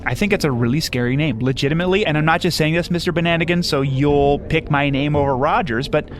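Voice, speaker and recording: A man's voice: on the high side, fast, close to an everyday voice. A man playing an earnest, smugly oblivious sci-fi screenwriter in a comedy sketch, hesitant and repetitive. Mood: insistent. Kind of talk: comedy sketch dialogue, pitch meeting.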